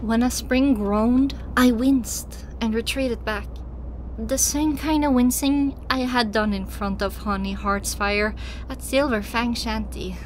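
A woman's voice: on the high side, takes it slowly, slight background noise, poor audio. A woman narrating a scripted first-person story as an audiobook reader. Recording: music behind the voice.

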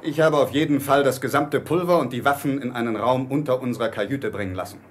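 An actor playing a stern, blunt ship's captain, speaking formally and firmly in a German radio play. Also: male voice, medium pitch, slight background noise, lightly acted. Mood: grave, firm. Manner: formal, firm, measured.

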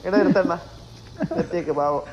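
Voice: medium pitch, brisk, highs cut off, heavy background noise. A squad teammate, chatting casually and urgently repeating phrases during a game. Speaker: teammate in an online shooter game.

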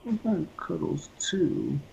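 Through a noisy, muffled recording comes a doting boyfriend acted by a man in a homemade roleplay. He murmurs sympathy in a creaky, swooping voice. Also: fairly high pitch, big pitch swings, takes it slowly, warm tone, heavily acted. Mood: affectionate. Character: soothing, doting boyfriend comforting his partner. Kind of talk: amateur ASMR couple roleplay. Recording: muffled, noisy lo-fi playback, distant.

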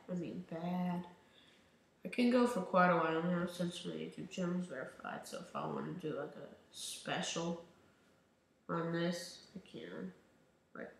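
A young man talks viewers through his game in a loose, rambling way, drawing his words out slowly. His voice is wearing thin, as if he is losing it. The recording rings with heavy room echo. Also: a little high, creaky, slight background noise. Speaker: gaming channel host. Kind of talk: casual gameplay commentary. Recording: very echoey room.

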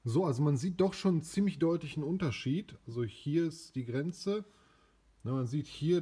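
A young man hosting a casual product review in his home garage, relaxed and chatty with frequent fillers. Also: mid-range voice, slightly rough. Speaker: car care channel host. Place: small home garage, indoors.

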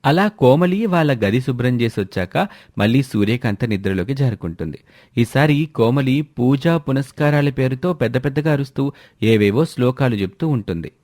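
A man's voice: medium pitch, animated, moderate pace, darkish tone. A man reading scripted narration for a family moral story.

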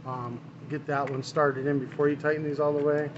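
A man's voice: fairly high pitch, level tone, fairly quick, highs cut off. A man, a small engine mechanic, talking casually through a hands-on repair in his workshop. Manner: casual, talks while working. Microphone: camera mic, speaker off-frame. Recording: noisy workshop, engine running. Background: engine and shop noise.

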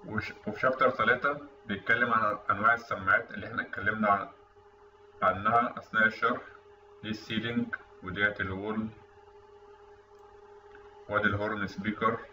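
A man's voice: fairly low pitch, steady tone, fast, pauses often, pushed and bright. A man with an Egyptian Arabic accent explains technical material in an informal, conversational tutorial voice-over. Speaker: instructor. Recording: roomy, distant mic with faint noise, dull sound.

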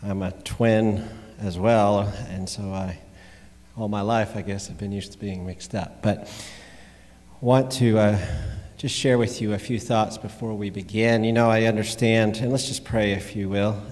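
A middle-aged man giving a devotional as a pastor, speaking in a measured, halting, reflective way from a pulpit. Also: fairly low pitch, fairly even intonation, darkish tone. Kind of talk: sermon with prayer and scripture reading. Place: large church sanctuary, at the pulpit. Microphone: gooseneck pulpit microphone. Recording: echoey church hall with long reverb.

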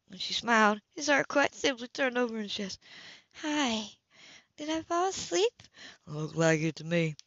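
A muffled, lo-fi, very dry recording of a woman narrating a romance novel. She reads as an amateur, sometimes tripping on a word and taking it again. Her pitch sits in the middle for a woman. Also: fairly bright tone, dry recording. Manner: halting, stumbles over words and rereads. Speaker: amateur audiobook reader. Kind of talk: reading a romance novel aloud. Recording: dull, muffled lo-fi home recording.

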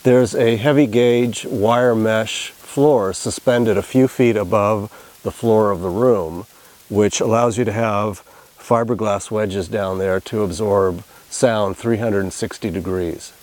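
A man's voice: fairly low pitch, steady tone, slow, darkish tone. A man in his forties giving an explanatory lab demonstration as an instructor. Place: small anechoic chamber, indoors.

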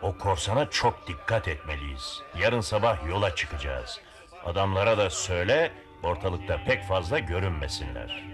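A man's voice: low voice, lively intonation, moderate pace, music in the background. A man playing a bold, cautious sea captain in a dubbed cartoon, dramatic and authoritative. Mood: guarded. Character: bold, cautious seafaring captain, commanding and resolute.

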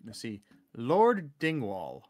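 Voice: medium pitch, huge pitch swings, slow pace, darkish tone. A livestream co-host chatting casually in an informal, sweary way.

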